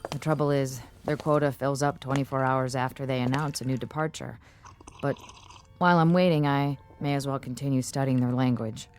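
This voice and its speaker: Very low-voiced, wary thoughtful woman. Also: steady tone, fairly quick, a little mellow. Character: thoughtful, uneasy female language analyst, quietly wary. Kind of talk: radio drama acting.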